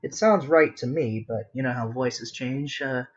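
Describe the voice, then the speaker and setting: A woman's voice: a bass voice, muffled recording. A woman chatting casually and musing to herself as she hosts a live sketching session. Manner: musing to self, unhurried. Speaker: artist hosting a live drawing session. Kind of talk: casual chat while sketching.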